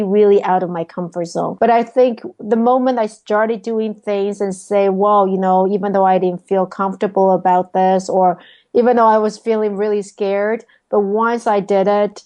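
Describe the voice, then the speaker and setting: A woman's voice: mid-range voice, warm tone. A woman from Taiwan, answering interview questions thoughtfully, with frequent "um"s and restarts. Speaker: guest, sales coach.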